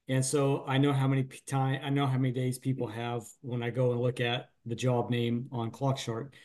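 A man's voice: mid-range voice, a little mellow. Middle-aged male small-business owner, mid-range voice, rambling and joking, casual video call. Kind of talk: casual chat on a group coaching call. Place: small room at home.

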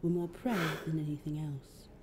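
Woman voicing recorded exhibit announcer, documentary style, measured, impersonal, deeply mellow, lowish pitch. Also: close to an everyday voice. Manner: formal, measured. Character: impersonal female museum exhibit narrator. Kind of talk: scripted documentary narration.

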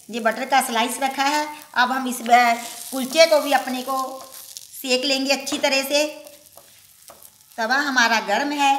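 A woman's voice: high voice, fairly bright tone, a little hiss or hum. A woman hosting a home cooking show, explaining each step of a recipe at the stove in a homely way. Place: home kitchen at a griddle. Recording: echoey kitchen with sizzling griddle.

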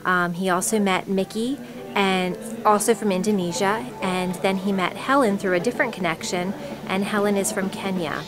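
A woman's voice: medium pitch, fairly even intonation, moderate pace. A woman explaining a project in an interview as its promotions manager. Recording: music playing behind the voice. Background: music.

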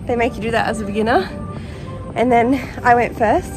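A woman in her thirties chatting casually outdoors in a travel vlog, cheerful and quick to laugh, filmed on a phone at arm's length. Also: a little high, low-quality recording. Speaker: travel vlogger. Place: outdoors by a lake. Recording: music playing behind the voice.

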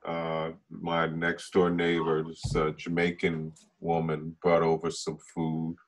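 A man's voice: sits low, monotone, slow. A young man chatting casually with friends on a video call. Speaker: actor at a table read. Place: dim room indoors, on a video call.

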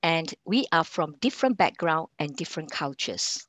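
A middle-aged woman from Singapore with a Singaporean accent, running an online sales training over a video call, talking haltingly with many fillers and restarts. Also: sits low, animated, fairly bright tone, dry recording. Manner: halting delivery with frequent fillers and restarts, quizzing the audience. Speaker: account manager and sales trainer.

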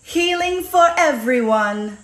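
A woman voicing a kind, devout young female healer from a video game, gentle and sweet. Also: sits high, animated, slow, reverberant, pitched above the performer's own voice. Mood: gentle, uplifting. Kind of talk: video game character lines.

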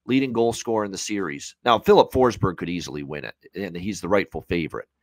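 Deep-voiced Canadian man analysing sports. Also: fast, no echo. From Hamilton area, Ontario, Canada. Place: small home room on a video call.

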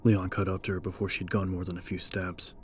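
Deep-voiced man narrating horror. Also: little pitch movement, a little fast, slight background noise. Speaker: audiobook narrator. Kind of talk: scripted dramatic horror narration. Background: background music.

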